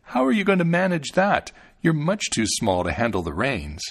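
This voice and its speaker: Guy reading as a kindly poor farmer dad in a fairy tale, plain talker, pitch moves around a lot. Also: wildly swooping pitch, moderate pace, exaggerated voice. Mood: amused, doubtful. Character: poor farmer, gentle, doting father of a tiny son.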